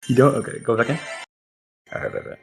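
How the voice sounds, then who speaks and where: A young man streaming a game live, reacting excitably and swearing as he plays. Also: medium pitch, quick pace, creaky. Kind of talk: live gaming commentary.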